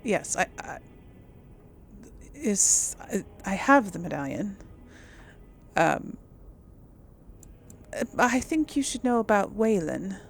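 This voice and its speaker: A woman's voice: medium pitch, sing-song, slow pace, gravelly, long pauses, slight background noise. A woman hosting a casual let's play, talking in an informal, hesitant, chatty way. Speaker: gaming video host. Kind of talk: casual let's play commentary with role-played replies. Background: video game ambience.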